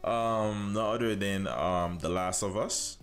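Low-voiced Haitian man streaming a game. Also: slow, soft background music. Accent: Haitian with Caribbean and American influences. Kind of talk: casual livestream chat with viewers over gameplay.

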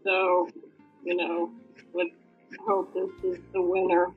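A woman's voice: lowish voice, monotone, slow, faint music behind. A woman reading fan fiction aloud casually, with informal, sweary joking asides. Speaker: fan fiction reader and commentator. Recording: thin, phone-like lo-fi audio.

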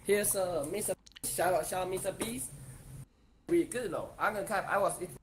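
A young man carries his phone from room to room, showing his house to a livestream audience. He speaks in halting, broken phrases and repeats his words as he goes. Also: high voice, fairly bright tone, a little hiss or hum. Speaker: streamer. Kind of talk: casual livestream house tour. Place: house rooms, walking with phone.

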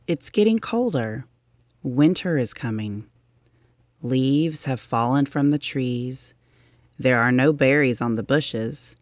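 A narrator reading a children's science book aloud, deliberately and expressively, with drawn-out emphasis for young listeners. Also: medium pitch, no echo, clean studio sound.

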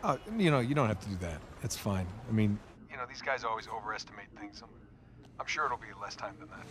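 Actor as easygoing American adventurer, noisy backdrop. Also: male voice, lowish voice, quick pace, a bit bright, natural voice. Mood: evasive, reassuring. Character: easygoing, evasive treasure hunter, adult man. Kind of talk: video game acting. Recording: game audio over engine and ambient noise.

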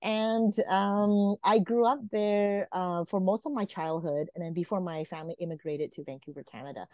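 A woman's voice: lowish voice, moderate pace, darkish tone, no room sound. Earnest woman on a muffled video call. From Malaysia. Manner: earnest, many fillers and restarts. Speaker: guest. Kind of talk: casual interview talk. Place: home room, video call. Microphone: video call webcam. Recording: dull lo-fi video call audio.

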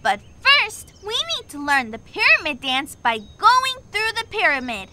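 A woman's voice: sits high, sing-song, unhurried, very noisy. A woman voicing a cheerful young girl explorer who guides the player through a children's game with encouraging instructions.

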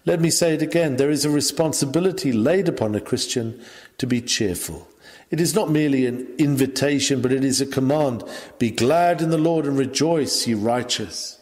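A man reading an old sermon aloud in a measured, emphatic preaching delivery. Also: medium pitch, darkish tone. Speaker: reader of a historical sermon. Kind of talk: sermon reading, scripted oratory. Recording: long hall-like reverb.

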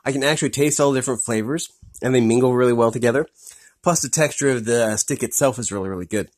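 A man's voice: medium pitch, fast. A man reviewing a beef stick on camera, talking casually with lots of ums and repeated "really, really good". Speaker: product reviewer. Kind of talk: casual food review vlog.

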